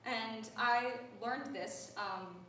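A woman's voice: a little high, extremely slow, pushed and bright, far from the mic. A young woman giving a spoken lecture in a recital hall, earnest and conversational with frequent ums, recorded from a camera across the room. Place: large recital hall with a grand piano. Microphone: far-off room camera. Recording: echoey hall, heard from afar, faint hiss.

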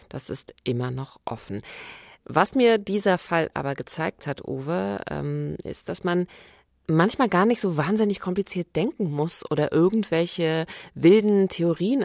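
A woman with a distinctly low-pitched voice hosts a true-crime podcast. She speaks, moving between conversation and presenting. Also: gravelly, dry recording, clean studio sound. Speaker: podcast host.

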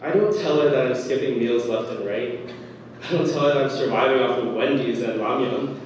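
A young man performing a spoken word piece on a dark stage, measured and dramatic, with pauses and voices for his mother and younger self. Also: a little high. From United States. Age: young adult. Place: dark stage under a single spotlight. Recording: echoey hall, distant mic, faint hiss.

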